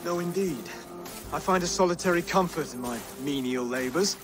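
An actor playing a gentle, earnest young Saxon thane in a video game, with an English accent and a courteous, measured manner. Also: male voice, fairly high pitch, music in the background, close to an everyday voice. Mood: mild, composed. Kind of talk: video game cutscene acting.

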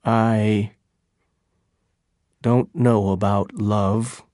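A narrator voicing a regretful older English man in audiobook dialogue, slow and apologetic. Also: mid-range voice, darkish tone, dry recording, clean studio sound. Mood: hesitant, sad.

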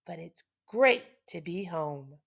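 An earnest, weary giant statue lady from a storybook , acted out by a woman reader. Her speech is emphatic and dramatic, swinging widely in pitch. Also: expressive, takes it slowly, gravelly, breathy edge, heavily acted. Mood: contented.

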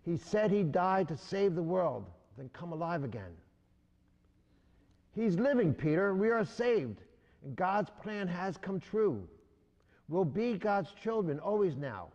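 A man's voice: high voice, sing-song, takes it slowly, darkish tone, big-room sound, lo-fi sound, heavily acted. A man voicing an eager young male disciple in a rhyming storybook read aloud. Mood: excited.

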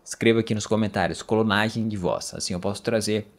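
A man in his thirties casually walking viewers through a software tool, enthusiastic and explaining step by step, speaking into a studio mic at home. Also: lowish voice, fairly even intonation, fairly quick. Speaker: tech YouTuber. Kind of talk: casual screen-recording tutorial. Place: home studio with gaming chair. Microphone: studio mic on stand.

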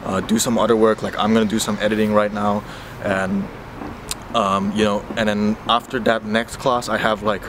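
A man's voice: on the low side, moderate pace, background noise. Young man vlogging from a phone. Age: young adult. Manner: relaxed, chatty, filler-heavy advice. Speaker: vlogger, university student. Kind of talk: casual vlog talk to camera. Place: restaurant, campus outdoors and gym. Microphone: handheld phone camera at arm's length.

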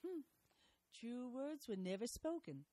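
An actress playing a prim, old-fashioned older wife. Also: female voice, fairly high pitch, very animated, a little slow, dark tone, no echo. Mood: fond.

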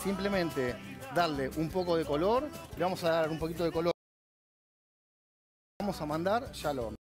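Argentine guy chef from la plata, cooking and explaining, bit high voice, stops and restarts, tv kitchen, light music behind. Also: normal speed. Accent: Argentine Spanish. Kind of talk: cooking demonstration chat. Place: TV studio kitchen.

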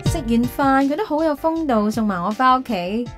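A woman's voice: fairly high pitch, expressive, brisk, lightly acted. An actress playing a reflective, wistful single career woman in a radio drama, with a Hong Kong Cantonese accent. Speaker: lead actress and narrator. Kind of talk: radio drama narration and dialogue. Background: background music.

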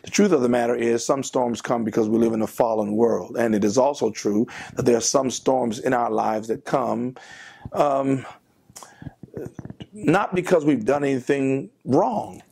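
An older male pastor delivers a devotional sermon from behind his desk, his voice gravelly with a husky edge. He preaches earnestly and with emphasis, posing questions to his listeners. The sound is close, from a lapel mic. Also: medium pitch, darkish tone. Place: pastor's office, seated at desk.

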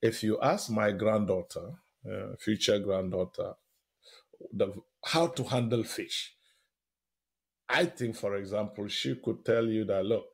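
A man with a slightly deep, husky voice speaks as a guest in a conversational interview. He is an animated storyteller, doubling back on words and often checking in with the listener. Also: measured pace. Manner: animated storyteller who repeats words and often tags phrases with "right?". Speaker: guest, professor.

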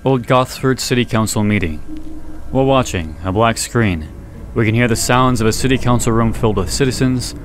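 A man reading scripted analysis narration in a measured, deliberate way with dramatic pauses. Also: mid-range voice, a little hiss or hum. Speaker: video essay narrator.

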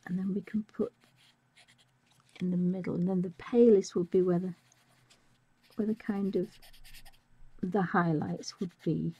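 Soft-spoken croaky woman tutoring art. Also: fairly low pitch, fairly even intonation, a little slow, pauses often, no room sound, muffled recording. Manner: soft, musing, often trailing into whispers. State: croaky from a chest infection. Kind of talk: live-streamed colouring demonstration.

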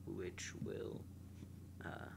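A young man with a slightly nasal, congested voice, calmly commentating a space game and reading its text aloud while muttering to himself. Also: drawn-out words, noisy background. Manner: relaxed, mumbles quietly to himself while playing. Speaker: gameplay host.

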